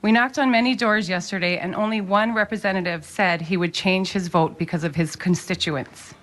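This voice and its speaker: A woman testifying before lawmakers at a public hearing. Her delivery is pointed and urgent, with a pleading edge. Her voice sits at a middle pitch. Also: steady tone, normal speed, a bit bright.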